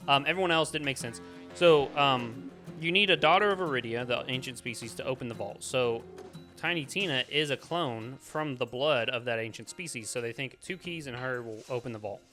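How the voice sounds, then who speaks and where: A man's voice: mid-range voice, a little fast, pushed and bright, soft background music. A man hosting a podcast in a casual movie review chat, exasperated and slipping into mock dialogue. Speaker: podcast host.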